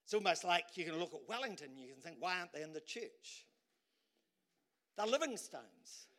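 A male preacher with a high, bright, ringing voice delivers an animated sermon. He swings from loud, shouted bursts to quiet, low asides, often repeating words as he drives home a point. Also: moderate pace. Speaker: pastor.

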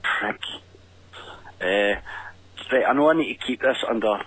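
A Scottish man delivers a casual story as a show caller. His pace is slow and deliberate, his manner gruff and foul-mouthed, and the sound is dry, with no room around him. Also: on the low side, fairly bright tone, dry recording, low-quality recording. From Scotland. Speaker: caller leaving a voice message. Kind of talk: casual anecdote telling.

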